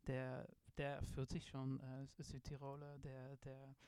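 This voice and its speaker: A man's voice: medium pitch, monotone, slow, a little mellow, clear, resonant tone, no echo. A middle-aged man with a Dutch accent, a design professor answering in a podcast interview, hesitant with many fillers and restarts. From Netherlands.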